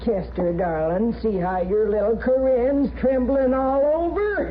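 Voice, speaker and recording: Slow, coy, scheming frontier bride. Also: female voice, high-pitched. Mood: gushing, coy. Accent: American. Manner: gushing, sugary endearments, pushy. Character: coy, scheming, gold-digging mail-order bride in frontier town. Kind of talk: 1950s radio western acting. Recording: dull, noisy 1950s radio recording.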